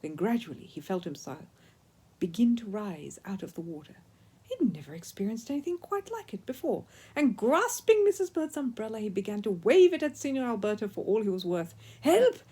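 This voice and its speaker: A woman reading a children's story aloud as an expressive narrator. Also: medium pitch, brisk, husky. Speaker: storyteller, audiobook reader.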